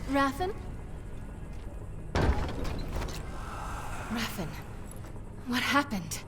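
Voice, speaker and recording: An actress playing a fierce, determined pirate captain in a video game cutscene, with a hard, resolute manner. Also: female voice, on the high side, sing-song, slow, background noise. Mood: alarmed.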